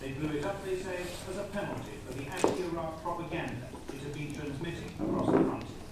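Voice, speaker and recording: A man's voice: on the high side, fairly quick. A man reading a scripted news report as a reporter. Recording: very echoey, distant, loud background noise.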